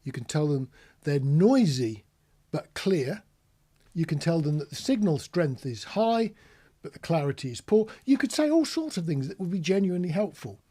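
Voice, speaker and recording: A man's voice: fairly high pitch, big pitch swings, moderate pace, mellow, slightly rough. A British man giving an informal, practical tutorial, explaining things plainly with anecdotes as an instructor. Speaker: marine radio instructor.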